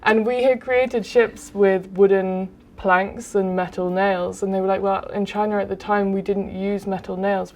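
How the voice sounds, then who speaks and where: Woman game director, interview answers, flat steady delivery, faint background noise. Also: medium pitch. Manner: even, explanatory, with frequent "um".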